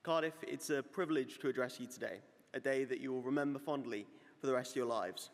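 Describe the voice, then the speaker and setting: A man's voice: medium pitch, fast talker. A man, a students' union officer, giving an earnest, congratulatory prepared speech at a graduation ceremony. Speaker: students' union vice president. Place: large ceremony hall. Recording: long hall reverb.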